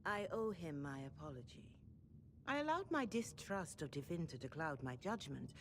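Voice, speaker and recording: A woman playing a dignified, devout senior religious mother in a video game, speaking in a formal, measured and deferential manner. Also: low voice, sing-song, slight background noise. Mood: earnest, candid. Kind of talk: video game cutscene acting.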